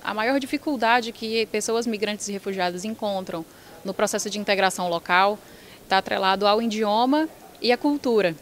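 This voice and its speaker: Woman, lecturer, interview, clear explanatory tone, mid pitch. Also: moderate pace. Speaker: university lecturer, course founder.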